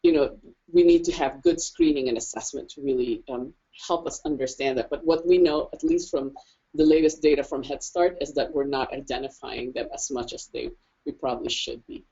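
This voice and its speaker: Woman, deep mellow tone, halting thoughtful speech, lo-fi audio. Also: fairly even intonation, slightly rough. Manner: thoughtful, hesitant with many restarts. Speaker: panelist. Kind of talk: conversational discussion.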